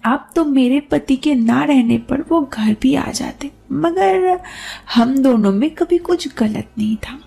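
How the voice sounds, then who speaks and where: A woman narrates a first-person story in a high voice. Her tone is soft-edged and mellow rather than bright, with a noticeable creak running through it. She reads as a storyteller, line by line. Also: slow.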